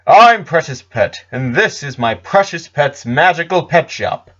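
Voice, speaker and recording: A man's voice: on the high side, animated, measured pace, fairly bright tone, dull audio, pitched above the performer's own voice. A man voicing an eager, folksy male pony who sells magical pets with courteous showman's patter. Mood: inviting.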